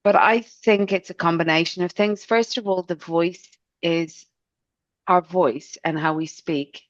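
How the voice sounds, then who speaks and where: Chatty middle-aged woman, irish and south african accent mixed, low voice, dry slightly dull sound. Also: a little slow, no echo. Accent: Irish and South African English mix. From Ireland. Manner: gentle, expressive, chatty. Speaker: voice and speech coach. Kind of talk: podcast interview answer.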